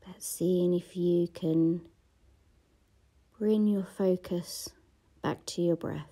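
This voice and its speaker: A woman guiding a gratitude body scan meditation, speaking softly and slowly and often dropping into a half-whisper. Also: fairly low pitch, fairly even intonation. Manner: slow, soft-spoken, often half-whispered. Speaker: meditation guide. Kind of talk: guided meditation.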